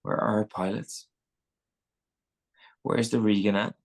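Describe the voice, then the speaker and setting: Guy acting a brusque navy admiral, raspy gravelly voice, fed up, barks halting orders, script table read. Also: mid-range voice, little pitch movement. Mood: exasperated, terse. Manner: halting, clipped commands with exasperated asides. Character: brusque, exasperated male Navy admiral in command. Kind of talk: live screenplay table read.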